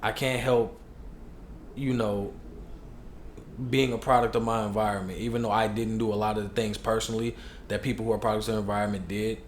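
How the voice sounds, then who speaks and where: Man, Chicago accent, young adult, lowish voice, slangy candid podcast guest, roomy echo. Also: fairly quick, pauses often. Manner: relaxed, slangy, candid storytelling. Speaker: hip hop artist guest. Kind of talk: casual podcast interview. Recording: echoey room with faint noise.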